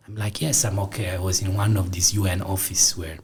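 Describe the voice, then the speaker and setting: Italian guy in his 40s, neapolitan accent, slow halting talk, lots of uhs, low husky voice. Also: little pitch movement, darkish tone. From southern Italy. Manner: halting, many fillers and restarts. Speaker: film director. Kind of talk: interview guest. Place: studio.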